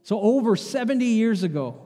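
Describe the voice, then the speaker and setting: A man's voice: high voice, expressive, moderate pace, dark tone. A man preaching a sermon as pastor, chatting with the congregation and throwing out rhetorical questions. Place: church sanctuary. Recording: long hall reverb in a church.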